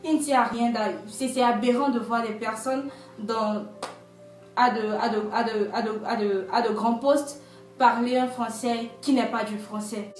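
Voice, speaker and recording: A woman from Benin with a somewhat high voice speaks emphatically, laying out her points one after another. She is recorded from a distance in an echoey room, with faint music underneath. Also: fairly even intonation, unhurried. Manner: emphatic, lists points, checks in with "d'accord". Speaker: interviewee. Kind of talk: structured explanatory talk.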